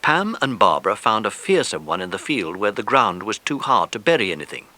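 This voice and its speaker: A man's voice: on the low side, very animated, brisk, bright, forceful tone, no room sound. A man narrating a children's story from a script, as the narrator of an audiobook.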